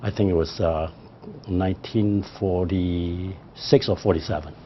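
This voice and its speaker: Older Hong Kong man, very low voice. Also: fairly even intonation, muffled recording, a little hiss or hum. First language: Cantonese. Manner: measured and formal, frequent hesitations. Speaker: interviewee, librarian. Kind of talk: oral history interview.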